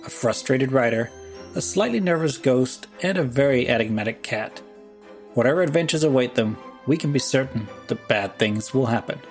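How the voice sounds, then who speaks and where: A man hosting a podcast, reading scripted announcements and credits in a narrating style. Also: mid-range voice. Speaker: podcast creator and host. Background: music.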